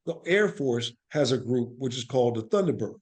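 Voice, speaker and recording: An American man speaking as an interview guest, telling stories in an animated, emphatic conversational way and often checking that the listener follows. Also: medium pitch, fairly quick. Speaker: guest, actor and playwright.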